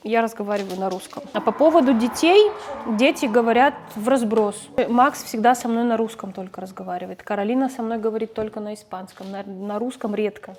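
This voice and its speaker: A woman's voice: on the high side, a little fast, a little mellow, slight background noise. A middle-aged woman from a Russian-speaking background, a mother and family vlogger, talking casually to the camera in a chatty, conversational way and sometimes correcting herself mid-sentence.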